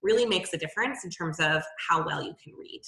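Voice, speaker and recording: A woman's voice: deep voice, lively intonation, fairly quick, gravelly, bright, forceful tone, distant mic. A woman co-hosting an online teaching course, chatting casually with frequent "um"s and easy laughter. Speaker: course co-host, literacy educator. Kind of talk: casual instructional discussion. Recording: roomy echo, off-mic.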